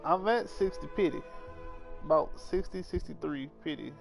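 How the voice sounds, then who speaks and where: A man's voice: a little high, moderate pace, gravelly, low-quality recording. A man doing casual live gaming commentary, talking to viewers and muttering to himself. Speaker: game livestreamer. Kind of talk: casual live gaming commentary, reading game dialogue aloud. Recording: music playing behind the voice. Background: game music.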